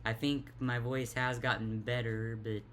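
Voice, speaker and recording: Deep-voiced tired young woman, halting. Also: little pitch movement, faint music behind. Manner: halting, stuttering, repeats words. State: tired, worn out. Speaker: vlogger. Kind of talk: casual confessional monologue.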